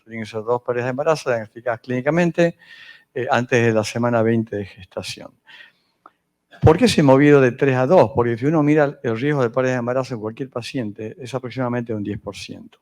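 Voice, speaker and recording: A man from northern Argentina with a northern Argentine Spanish accent, giving a medical lecture on fertility, pausing often with fillers and restarts. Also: mid-range voice, darkish tone. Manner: hesitant, many fillers and restarts.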